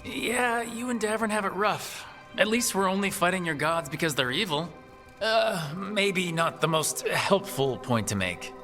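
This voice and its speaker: An actor playing a pragmatic male team leader in a video game, measured and reassuring. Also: sits high, lively intonation, sharp tone, full character voice. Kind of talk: video game dialogue acting. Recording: long hall reverb, music underneath.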